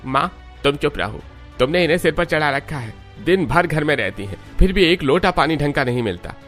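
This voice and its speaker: A man's voice: fairly high pitch, expressive, fairly quick, fairly bright tone, faint music behind. A man voicing a rude, resentful village son and husband in a cartoon, contemptuous and scolding. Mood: irritated, scornful.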